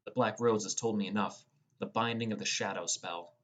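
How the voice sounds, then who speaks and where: A man's voice: fairly low pitch, fairly even intonation, normal speed, a bit bright. A young man reading scripted first-person fantasy narration as a dramatic storyteller. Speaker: audiobook narrator.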